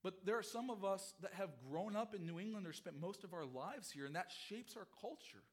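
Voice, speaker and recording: A middle-aged pastor preaching a sermon, his voice hoarse from a cold, earnest and expository, often repeating phrases. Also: high-pitched, fairly quick.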